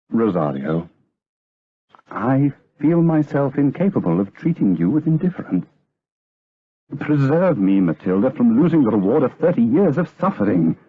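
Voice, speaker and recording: Gothic drama casting: tormented, pious monk, deep and husky, grave and pleading, muffled radio sound. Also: male voice, heavily acted. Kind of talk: gothic audio drama acting. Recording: dull lo-fi radio drama recording.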